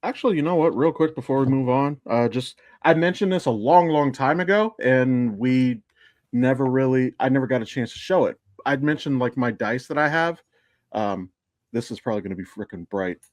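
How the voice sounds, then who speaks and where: A middle-aged man chats casually as a podcast host. His voice sits at a medium pitch and is recorded dry, with little room sound. He rambles through asides and swears freely. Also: expressive, normal speed, a little mellow, dry recording. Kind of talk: casual chat.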